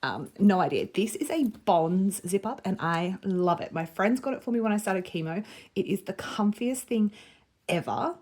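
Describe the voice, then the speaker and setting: A woman's voice: medium pitch, animated, normal speed, a little mellow. Chatty woman vlogging. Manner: chatty, lots of "like" and little laughs. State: slightly croaky with a cold. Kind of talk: casual vlog chat.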